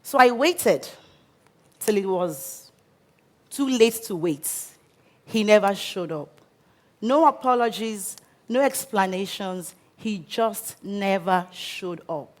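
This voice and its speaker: A Nigerian woman in her thirties telling her own life story on stage, earnest and measured with pauses. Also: mid-range voice. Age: 30s. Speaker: speaker. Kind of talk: personal story talk on stage. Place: stage before a live audience.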